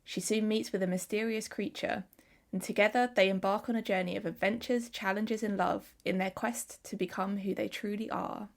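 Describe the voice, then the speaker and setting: A woman co-hosting a film podcast, reading a synopsis in an even, measured way. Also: medium pitch, vocal fry. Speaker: podcast co-host. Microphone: headset.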